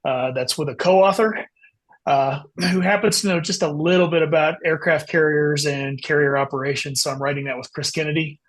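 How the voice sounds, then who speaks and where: Higher-pitched man, explaining conversationally. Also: fairly even intonation, a little fast, rough recording. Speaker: guest author. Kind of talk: casual interview chat.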